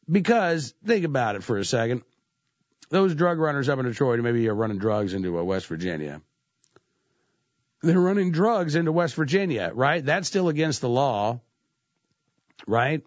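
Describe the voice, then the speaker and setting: A man's voice: mid-range voice, big pitch swings, measured pace, pauses often, no room sound. A man hosting talk radio while congested from a cold, slightly nasal. He talks conversationally with lots of "uh" fillers. The sound is dry and a little muffled. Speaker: radio talk show host. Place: radio studio. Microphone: studio microphone.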